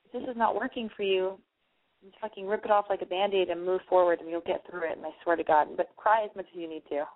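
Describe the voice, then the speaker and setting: A woman's voice: lowish voice, steady tone, quick pace. A woman hosting a late-night call-in advice radio show, blunt and gushing, swearing freely. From German family. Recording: tinny phone-like audio.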